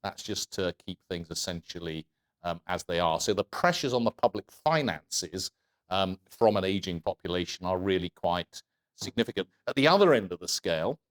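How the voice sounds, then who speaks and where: A man speaking on a panel, explaining at length with many pauses and fillers. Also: deep voice, lively intonation. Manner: hesitant, many fillers and restarts. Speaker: economist panelist. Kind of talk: panel discussion.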